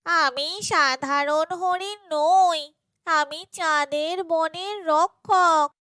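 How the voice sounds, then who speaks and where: A woman voicing a gentle magical little silver deer who guards a forest, speaking slowly and solemnly in an animated fairytale. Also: very high voice, no room sound, clean studio sound, heavily acted. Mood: grateful, solemn. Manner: slow, solemn speech.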